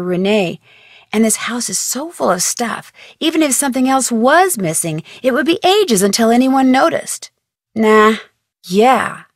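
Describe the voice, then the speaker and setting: A woman's voice: fairly high pitch, no echo, studio quality, natural voice. A woman voicing a curious young amateur detective in a game, with an inquisitive, breezy, slightly cheeky way of asking questions. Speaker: game protagonist. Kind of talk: game voice lines.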